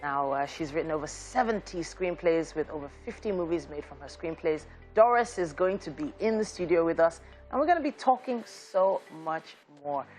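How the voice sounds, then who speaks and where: A woman from Nigeria co-hosting a morning TV show, bubbly and quick to laugh, with light music behind her. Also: deep voice, animated, darkish tone. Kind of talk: lively breakfast TV chat and news reading. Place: TV studio.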